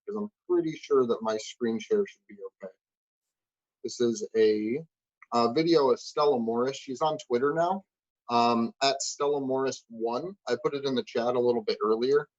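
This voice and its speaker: A man's voice: mid-range voice, normal speed. Casual male stream host, slightly dull audio. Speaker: livestream host. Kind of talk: casual hosting chat.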